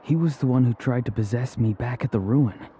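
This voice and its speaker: Man playing a mellow, grave young warrior. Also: medium pitch, slight background noise, natural voice. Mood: serious, puzzled. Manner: measured, serious. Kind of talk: English video game character acting.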